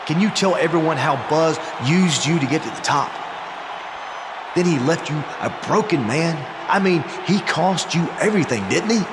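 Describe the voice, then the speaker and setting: A man's voice: fairly high pitch, expressive, normal speed, a crowd in the background, close to an everyday voice. An actor playing an arrogant, scheming wrestler, a man giving a goading, theatrical promo to an arena in a wrestling game. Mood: smug, goading. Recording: echoey arena, clear background noise.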